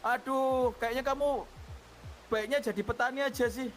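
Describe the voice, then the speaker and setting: High-voiced bossy man. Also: fairly even intonation, moderate pace, a little hiss or hum. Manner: bossy, teasing interrogator. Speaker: roleplay gang boss player. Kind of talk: in-character roleplay chat.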